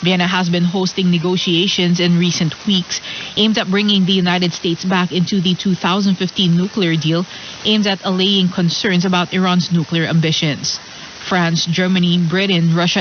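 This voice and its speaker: A woman newsreader delivers a scripted bulletin in a formal, measured way. Her voice comes through a dull, band-limited AM radio signal with a faint layer of hiss behind it. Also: on the low side, steady tone. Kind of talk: scripted news reading. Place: radio studio, heard over medium-wave broadcast. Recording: hissy, muffled AM radio reception.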